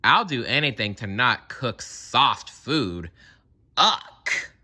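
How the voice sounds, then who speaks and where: A blunt elephant from a picture book, acted by a man. The delivery is grumbly and complaining, taken slowly, with a forceful bright edge and swinging pitch. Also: big pitch swings, heavily acted. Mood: fed up.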